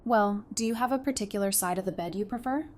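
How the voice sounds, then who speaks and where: A woman playing a caring, gently teasing young survivor in an audio drama. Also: mid-range voice, fast talker, natural voice. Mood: playful. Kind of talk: fan audio drama acting.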